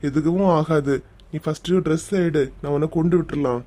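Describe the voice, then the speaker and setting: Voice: medium pitch, fairly even intonation, a little fast, dark tone, close to an everyday voice. A performer voicing a persistent, reassuring young male suitor in a cartoon story, with a coaxing manner and a Tamil Nadu accent. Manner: coaxing, insistent.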